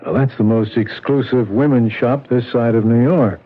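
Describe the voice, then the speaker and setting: An actor playing a skeptical police lieutenant who questions bluntly in a 1970s radio drama. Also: male voice, mid-range voice, steady tone, normal speed, natural voice. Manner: blunt, matter-of-fact questioning. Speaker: police detective. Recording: tinny old radio broadcast.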